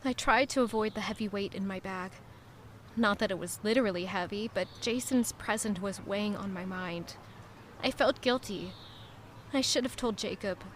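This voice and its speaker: A woman playing a quietly resolute young woman in an audio drama, narrating in a measured, reflective way. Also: medium pitch, slight background noise, natural voice. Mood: brooding, wistful. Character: guilt-ridden, quietly resolute young woman returning to her hometown. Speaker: narrator and lead character. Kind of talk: first-person scripted audio drama narration.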